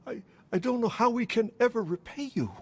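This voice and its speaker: Game hostage: breathy, swooping pitch, halting, tremulous and grateful. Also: female voice, lively intonation, a little mellow. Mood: shaken gratitude. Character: shaken, grateful rescued female hostage. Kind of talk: video game cutscene acting.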